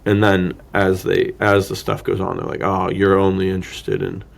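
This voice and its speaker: Gravelly, low-voiced man chatting casually. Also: level tone, moderate pace. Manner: rambling, thinking aloud, slangy. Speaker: podcast co-host. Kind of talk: casual film discussion.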